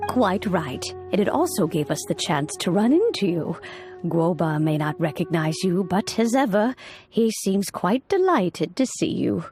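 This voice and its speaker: A woman voicing a serene, kindly old woman in a video game, speaking in a measured, soothing, old-fashioned way. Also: lowish voice, sing-song, a little mellow, soft background music. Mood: kindly, content.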